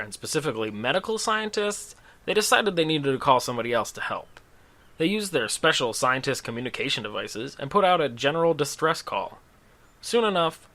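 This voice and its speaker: A man's voice: medium pitch, fairly bright tone. A man telling a comedic scripted story in a dramatic, tongue-in-cheek way, with casual asides. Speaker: storyteller, host of a story series.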